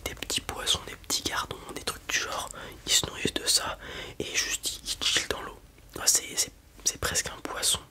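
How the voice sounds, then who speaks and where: A man's voice: normal speed, bright, forceful tone. A man in an ASMR roleplay, whispering breathily as he casually and hesitantly explains things in the role of a breeder.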